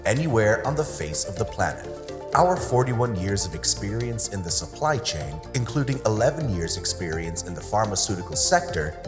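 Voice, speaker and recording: A narrator reading a scripted corporate promotional video in a polished, smooth delivery. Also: mid-range voice. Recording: voice-over with music behind. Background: music.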